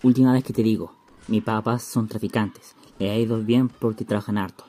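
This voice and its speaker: A man's voice: lowish voice, fairly even intonation, a little fast, warm tone, lightly acted. A Chilean man playing a stubborn, menacing, slangy schoolboy in a radio play, speaking bluntly. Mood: defensive, boastful. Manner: colloquial, blunt.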